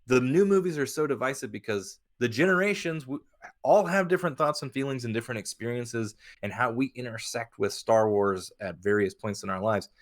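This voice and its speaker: A man's voice: mid-range voice, brisk. A man co-hosting a podcast in casual chat, emphatic and sweary, often acting out imagined quotes. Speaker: podcast host.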